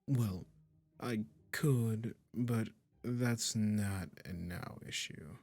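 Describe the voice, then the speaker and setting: A man's voice: fairly low pitch, extremely slow, a little mellow, soft background music, heavily acted. A man playing a smug, possessive, doting male witch in an intimate roleplay audio, cooing condescendingly. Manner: condescending, cooing, breathy endearments. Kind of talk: intimate ASMR roleplay acting.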